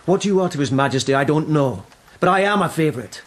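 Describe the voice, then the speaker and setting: Stern young lieutenant, higher-pitched male voice, clipped, authoritative, accusing, scornful, radio drama. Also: lively intonation, fast. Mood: angry, accusing. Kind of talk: confrontational radio drama acting.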